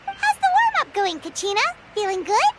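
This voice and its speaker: A woman voicing a small, cheerful fairy companion in a video game, childlike, squeaky and chatty. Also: wildly swooping pitch, normal speed, very noisy, heavily acted. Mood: friendly. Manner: bubbly, exclaiming, chatty. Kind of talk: video game character dialogue. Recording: music playing behind.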